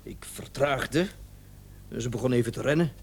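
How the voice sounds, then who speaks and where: A man's voice: medium pitch, normal speed, slightly rough. An actor playing a flustered, indignant and evasive male doctor in a radio drama, stammering and repeating himself when agitated. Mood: placating, recounting.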